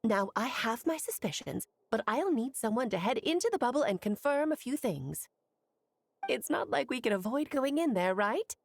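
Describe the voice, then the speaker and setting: A woman's voice: fairly high pitch, animated, normal speed, vocal fry, dry recording. A breezy, poised female mage. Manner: airy, poised, gently bossy. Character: confident adult female mage, breezy and motherly. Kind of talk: video game cutscene dialogue.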